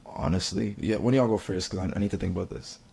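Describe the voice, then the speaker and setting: A man's voice: low-pitched, fast, gravelly, dark tone, slightly rough. A young man in casual podcast chat, slangy and rambling, full of fillers.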